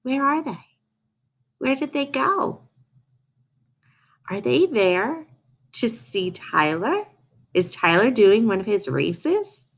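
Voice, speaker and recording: A woman voicing an eager, devoted young dog in a storybook, with an excitable, earnest manner. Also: a little high, slow, long pauses, breathy edge, heavily acted. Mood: excited, searching.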